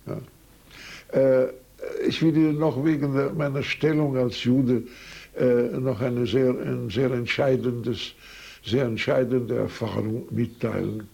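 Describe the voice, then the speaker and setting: An older Swiss man whose first language is French tells his life story to an interviewer. His voice is dark and husky, and he rambles through anecdotes with many hesitations and restarts. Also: medium pitch, fairly even intonation, slow pace. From Switzerland. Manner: rambling, anecdotal, frequent hesitations and self-corrections. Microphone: recorded on tape.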